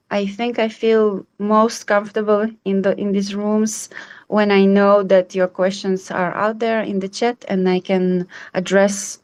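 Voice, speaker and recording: A woman's voice: mid-range voice, darkish tone. A woman in her thirties from Israel, hosting an online support group in a welcoming, reassuring way over a video call. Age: 30s.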